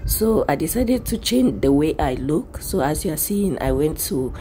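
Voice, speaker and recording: A young woman with a hoarse voice, chatting casually to her phone camera in a hair salon, laughing often and acting out her friends' words. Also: sits low, very animated, normal speed, mellow, a little hiss or hum. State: hoarse, lost voice. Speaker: vlogger. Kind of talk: casual vlog chat. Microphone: phone held at arm's length.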